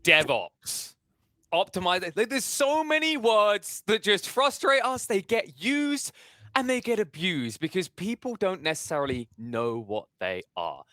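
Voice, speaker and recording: A man hosts an event, introducing the next speaker with rambling enthusiasm. His voice is bright and lively, moving up and down a lot. The sound is dry and close. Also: high voice, unhurried, no echo.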